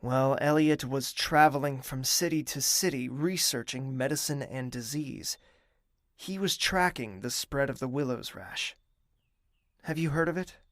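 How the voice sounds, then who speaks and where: An actor playing a young male prince who is thoughtful and reserved, speaking in a measured, formal and courteous way. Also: mid-range voice, fairly bright tone, no echo, studio quality, lightly acted. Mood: grave. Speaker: narrator and lead character. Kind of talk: audio drama acting.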